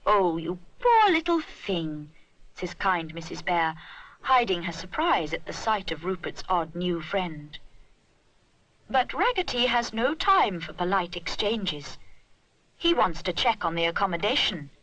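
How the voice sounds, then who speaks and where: A woman narrates a children's story with plenty of expression. Her voice is bright and forceful, moving widely in pitch at a leisurely pace. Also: animated, a little slow, rough recording.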